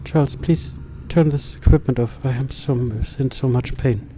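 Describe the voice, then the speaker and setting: A frail, tormented woman, acted by a man in a story game. The voice is soft and dark, faint and halting, with broken, pleading phrases and slight noise behind. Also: medium pitch, lightly acted. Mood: pained, pleading.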